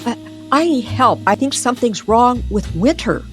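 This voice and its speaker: A woman's voice: fairly high pitch, animated, moderate pace, voice pushed up, close to an everyday voice. An anxious young girl , acted by a woman storyteller, speaks in a raised, faltering voice. She sounds urgent and frightened, as in an eerie radio play, with music underneath.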